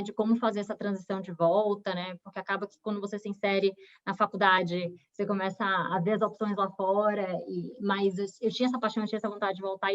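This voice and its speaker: Brief: woman, 20s, bahian accent, talkative guest, muffled video-call sound. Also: mid-range voice. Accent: Bahian Brazilian Portuguese. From Bahia, Brazil. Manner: chatty, many fillers and restarts. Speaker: guest, recruiter. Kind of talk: webinar interview. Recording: dull lo-fi video call audio.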